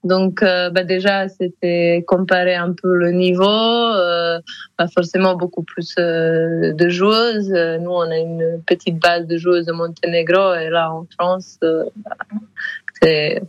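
A woman's voice: fairly low pitch, flat delivery, slow, no echo, highs cut off. A woman in her thirties with a Montenegrin accent, a handball player answering radio interview questions with many fillers and restarts. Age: 30s.

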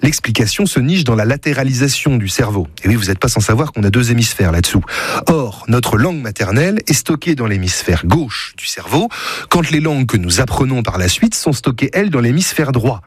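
Husky, wry radio columnist, dry booth. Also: male voice, medium pitch, big pitch swings, a little fast, no room sound. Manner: wry, explanatory, dry humor. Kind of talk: scripted radio chronicle.

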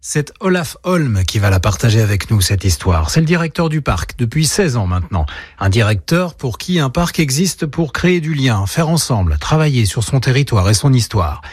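Male radio reporter, very lively intonation, husky tone, dry studio, feature storytelling. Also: mid-range voice, moderate pace, dry recording.

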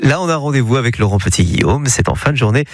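A man hosting a local radio show, running a call-in game in a chatty, jovial way, his pitch leaping up when he gives answers. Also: lively intonation, fast, background noise. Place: radio studio. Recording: radio broadcast with faint music bed.

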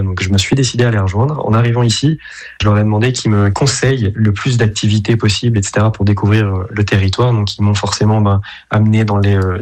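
A man from Belgium speaks as a radio guest, his voice sitting a bit low. He talks in halting runs, full of fillers and restarts. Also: darkish tone. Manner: hesitant, many fillers and restarts. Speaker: app founder guest. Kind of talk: radio interview answers.

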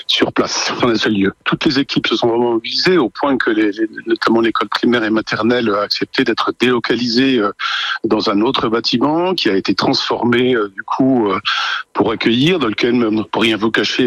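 A man speaking haltingly in a recorded interview, with many fillers. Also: lowish voice, a bit bright, slightly rough, dry recording, highs cut off. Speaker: diocesan education director.

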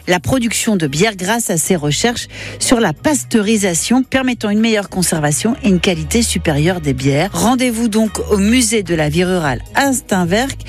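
A woman radio columnist reading out an agenda of summer events in an upbeat, lively way. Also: mid-range voice, moderate pace, soft background music. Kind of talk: read radio segment.

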